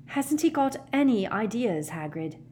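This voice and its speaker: A woman voicing a brainy, earnest teenage girl who reasons quietly and precisely in a storybook. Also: mid-range voice, lively intonation, measured pace, slight background noise, pitched above the performer's own voice, natural voice. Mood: worried.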